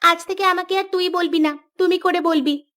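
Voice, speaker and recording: A woman plays a sensible young wife from a village cartoon. Her voice sits high, and she talks in a bossy, sharp-tongued way, practical about household matters. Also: steady tone, normal speed. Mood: scolding. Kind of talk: cartoon dialogue.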